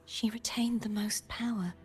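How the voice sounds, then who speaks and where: An actress playing a solemn, gentle, resigned maiden in a video game, speaking softly and breathily with measured gravity. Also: female voice, fairly high pitch, fairly even intonation, close to an everyday voice. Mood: grave, weary. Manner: soft, breathy, measured, grave delivery. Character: solemn, gentle, resigned maiden who split her own self. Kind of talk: video game voice acting, dramatic monologue. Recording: game dialogue over music.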